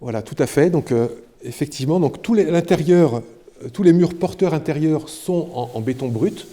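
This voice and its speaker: A man giving a spontaneous guided explanation as an architect, halting and often repeating words as he goes. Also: fairly high pitch, expressive, warm tone. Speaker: architect presenting a building project.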